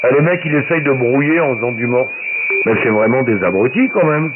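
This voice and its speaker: A man's voice: mid-range voice, lively intonation, a little fast. A man chatting casually as an amateur radio operator, colloquial and boastful, repeating phrases for emphasis, his voice coming through shortwave radio. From Paris, France. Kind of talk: casual radio chat, anecdotes. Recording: very noisy narrowband shortwave radio transmission. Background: loud radio static.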